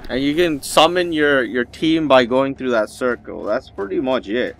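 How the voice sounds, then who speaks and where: A man talks casually through a mobile game as a video host, at an average pitch. His delivery is conversational and rambling, with faint game ambience behind him. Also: lively intonation, normal speed, gravelly, slight background noise. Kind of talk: casual gameplay commentary.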